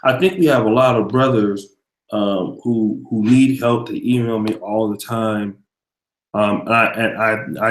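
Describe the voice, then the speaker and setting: Man interviewing in an echoey room. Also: lowish voice. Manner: thanks viewers mid-question, hesitant fillers. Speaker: host. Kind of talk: casual video interview chat.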